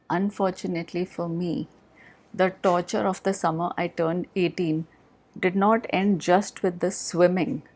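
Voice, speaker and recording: A woman's voice: fairly low pitch, darkish tone. A woman with an Indian accent telling personal memories as a podcast host, in a plain-spoken, reflective manner. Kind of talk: personal storytelling.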